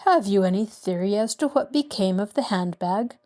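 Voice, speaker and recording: A woman voicing a courteous middle-aged male lawyer who speaks in a measured, reassuring way. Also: medium pitch, lively intonation, mellow, close to an everyday voice. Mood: calm.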